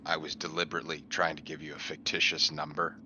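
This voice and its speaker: Casting: man, extremely deep yet sharp, forceful voice, casual game player, dull lo-fi recording with faint noise. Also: level tone, fast talker. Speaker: tabletop roleplaying game player. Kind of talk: casual chat.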